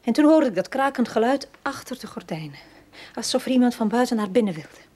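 Radio drama casting: haunted widow, fairly high, husky and breathy, uneasy, deliberate delivery. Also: female voice, expressive, a little mellow. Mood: frightened, hushed.

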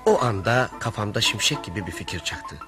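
An actor playing an earnest, exasperated family friend in radio theatre, delivered as theatrical storytelling. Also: male voice, lowish voice, animated, a little fast, music in the background, lightly acted. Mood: wry storytelling. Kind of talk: radio theatre narration and dialogue.

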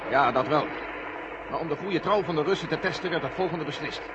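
A man's voice: on the high side, brisk, lightly acted. A male actor playing an earnest military general in a Dutch radio drama, reasoning with urgency. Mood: grave. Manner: urgent, persuasive. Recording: muffled old radio recording, loud noise.

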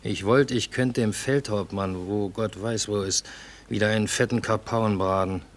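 An actor playing a grumbling, faded middle-aged army cook in a radio play, speaking drily. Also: male voice, fairly low pitch, steady tone, moderate pace, slight background noise, lightly acted. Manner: dry, grumbling, colloquial. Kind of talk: German radio play acting.

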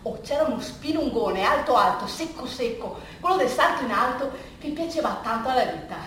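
A woman performing a theatrical first-person storytelling monologue, halting and conversational, repeating phrases as she goes. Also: high voice, animated, a bit bright. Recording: distant, very echoey room, faint noise.

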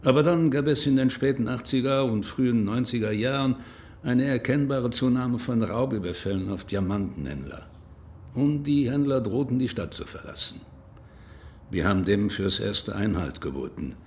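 An actor playing a grave, insistent government official from Belgium in a radio drama, formal and deliberate in delivery. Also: male voice, medium pitch, animated, darkish tone, slight background noise, lightly acted. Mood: grave explaining. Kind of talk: naturalistic radio drama acting.

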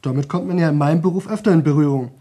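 A man's voice: a little high, racing, warm tone, slightly rough. An actor playing a young man in a radio drama who talks nonstop for a record, rambling, repeating himself and slipping into singsong. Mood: chatty, self-satisfied. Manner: rambling, repetitive, chatty, drifting into singsong. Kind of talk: 1970 German radio play monologue.

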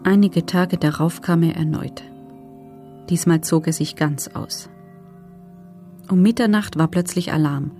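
An actress playing a former female prisoner who gives sober, measured, matter-of-fact testimony in a documentary radio drama. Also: fairly low pitch, dark tone, faint music behind. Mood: sober, restrained.

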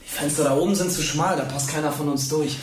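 An actor playing a bossy, frustrated teenage schoolboy in a radio drama, curt and commanding, in an echoey room. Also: male voice, fairly high pitch, fairly even intonation, quick pace, background noise, close to an everyday voice. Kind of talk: modern radio drama acting. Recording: echoey room with music underneath. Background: music.

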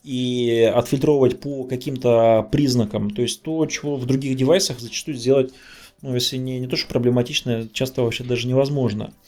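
A man's voice: mid-range voice, fairly even intonation, brisk, a little mellow. A man in a casual tech discussion, talking informally like someone telling stories from work. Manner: informal, storytelling. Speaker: podcast guest.

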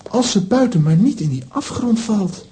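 A man's voice: high voice, expressive, moderate pace, dark tone, a little hiss or hum. An actor playing a plucky, reassuring young boy in a children's audio drama. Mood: teasing, concerned.

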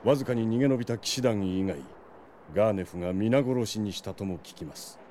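An actor playing a loyal, solemn knight captain in a fantasy audio drama, speaking in formal, deferential courtly language. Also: male voice, on the low side, a little fast, warm tone, a little hiss or hum, lightly acted. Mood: grave.

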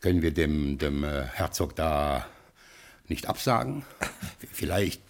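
An actor playing a rough, anxious American gangster boss in a radio play, talking colloquially and stammering when nervous. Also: male voice, a bass voice, animated, measured pace, heavily acted. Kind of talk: 1960s German radio play acting.

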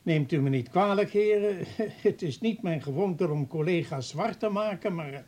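An actor playing an exasperated professor who runs a hospital in a Dutch radio play, incredulous, sarcastic and emphatic. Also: male voice, high-pitched, moderate pace, a little mellow. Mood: baffled. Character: exasperated male professor, hospital medical director.

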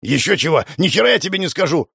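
Man acting out a shrill, raging fat bully. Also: animated, fast, vocal fry, a bit bright, slightly rough, dry recording, studio quality, full character voice. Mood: blustering defiance. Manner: shrill, ranting, blustering. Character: fat, short, hysterical, furious male bully.

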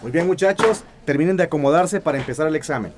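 A man's voice: a little high, fast talker, lightly acted. An actor playing a strict, unbending male teacher in a radio drama, with noise around him. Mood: firm.

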